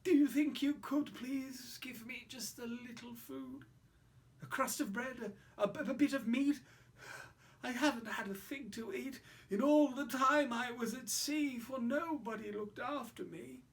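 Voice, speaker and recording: A woman's voice: sits high, unhurried, pitched above the performer's own voice. A woman voicing a half-dead, starving male anteater begging for food. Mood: pleading. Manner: faint and pleading. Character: starving male giant anteater, weak and pleading.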